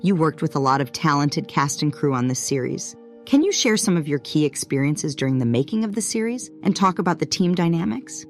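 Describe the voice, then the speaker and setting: A woman's voice: deep voice, animated, fairly quick, faint music behind. A woman interviewer putting prepared questions to a guest.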